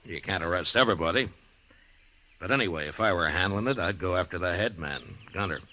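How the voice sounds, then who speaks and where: A man playing a calm, unhurried US marshal with an American accent in an old-time radio western. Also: deep voice. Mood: calm, probing. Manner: laconic, unhurried. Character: calm, measured frontier lawman, a US marshal. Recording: hissy old radio recording.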